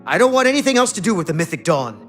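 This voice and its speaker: A man's voice: sits high, very animated, rapid-fire, a bit bright, soft background music. A man voicing a pompous, easily flustered, defensive book collector in a fantasy video game. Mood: subdued, fearful. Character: haughty, nervous, defensive male book collector and cult enthusiast. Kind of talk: video game dialogue acting.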